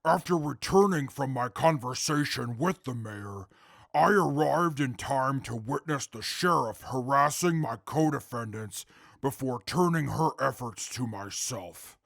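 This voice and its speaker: An actor playing a calm, articulate male super mutant who testifies in court in a formal, measured way with a gravelly voice. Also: mid-range voice, dry recording, clean studio sound. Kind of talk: audio drama acting.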